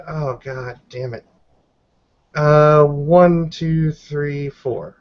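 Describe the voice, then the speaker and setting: A man's voice: a little high, fairly even intonation, extremely slow. A man playing a tabletop roleplaying game, chatting casually and swearing freely as a player. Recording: dull, lo-fi voice recording.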